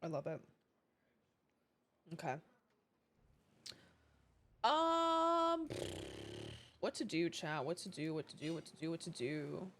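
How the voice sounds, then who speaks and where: Lively low-voiced woman streamer. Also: takes it slowly, pauses often, slight background noise. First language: not English. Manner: chatty, swears freely, laughs often. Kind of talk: casual chat with stream viewers.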